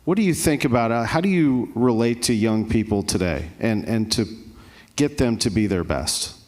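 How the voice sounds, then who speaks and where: A man's voice: medium pitch, lively intonation, moderate pace, a little mellow. A man interviewing a coach onstage at an awards banquet, asking leading questions in a conversational way.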